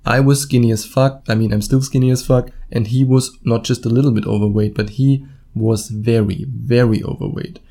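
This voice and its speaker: Male solo podcaster, German accent, soft mellow timbre, casual and blunt coaching monologue. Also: mid-range voice, fairly even intonation, moderate pace. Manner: informal, blunt, swears casually, acts out imagined quotes. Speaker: podcast host and dating coach.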